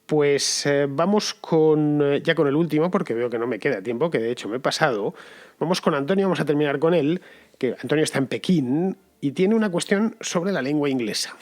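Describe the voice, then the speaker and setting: Man hosting a listener-question podcast. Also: a little high. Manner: relaxed and conversational, pauses with fillers. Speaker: podcast host answering listeners. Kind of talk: casual spoken commentary.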